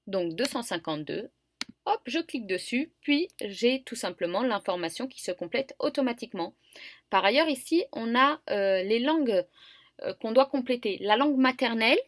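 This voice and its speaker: A woman's voice: fairly high pitch, a little hiss or hum. A young woman patiently walking viewers through an online admission form, with frequent hesitations. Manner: patient and explanatory, with frequent fillers. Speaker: student guide for university admission form. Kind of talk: screen-recorded tutorial walkthrough.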